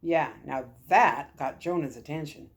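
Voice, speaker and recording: A woman voicing a calm, kindly military lieutenant in a story, gentle and earnest. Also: sits low, unhurried. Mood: gentle, reassuring.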